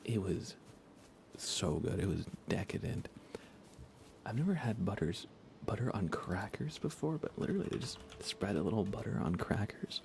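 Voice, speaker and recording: A soft-spoken man chatting casually on an ASMR gaming livestream, rambling unhurriedly and often slipping toward a whisper. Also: fairly low pitch, very animated, normal speed, vocal fry, background noise. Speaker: livestreamer playing a video game.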